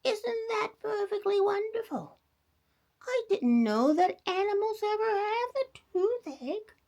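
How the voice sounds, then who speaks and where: A woman voicing a gentle old grandmother in a storybook, murmuring and marvelling. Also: very high voice, big pitch swings, slow, gravelly, voice pushed up, heavily acted. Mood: amazed.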